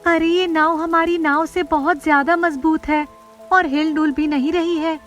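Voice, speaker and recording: Woman voicing a high-pitched anxious village schoolchild. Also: steady tone, a little slow, a bit bright, faint music behind. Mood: anxious, urgent. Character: worried village schoolchild in a cartoon moral story.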